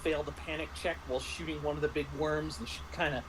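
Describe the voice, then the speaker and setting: A man casually recounting tabletop game sessions as game master, speaking haltingly and often clearing his throat. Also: a little high, fairly even intonation. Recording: clearly noisy background.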